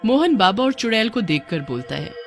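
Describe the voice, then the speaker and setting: A woman's voice: medium pitch, very animated, a little fast, soft background music. A woman narrating a scripted story in a dramatic storytelling manner.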